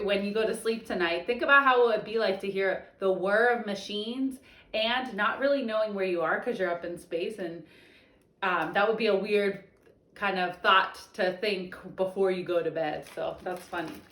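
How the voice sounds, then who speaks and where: A woman's voice: mid-range voice, moderate pace. A young woman teacher reading a picture book aloud to children, stopping for chatty explanations. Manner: expressive storybook reading broken up by chatty explanations. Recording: echoey room.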